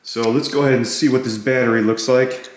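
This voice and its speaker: A heavily echoing room surrounds this man's voice, which sits at an average pitch. He talks like a hands-on product reviewer, casual and matter-of-fact, naming one feature after another. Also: steady tone, brisk. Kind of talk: casual hands-on gadget walkthrough. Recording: very echoey, roomy sound.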